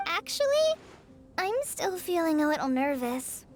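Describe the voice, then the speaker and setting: An actress playing a gentle, nervous, supportive young woman in a video game. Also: high-pitched, lively intonation, moderate pace, over music.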